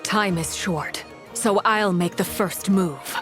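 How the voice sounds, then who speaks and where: An actress playing a cold, resolute noblewoman commander in a video game, speaking in a measured, formal, grave way. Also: medium pitch, over music, natural voice.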